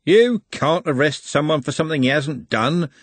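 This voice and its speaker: A matter-of-fact know-all from a storybook voiced by a male narrator. He makes folksy pronouncements in a lively, husky voice. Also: fairly high pitch, normal speed, dry recording, pitched above the performer's own voice. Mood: sage, matter-of-fact. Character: opinionated, matter-of-fact male bus passenger.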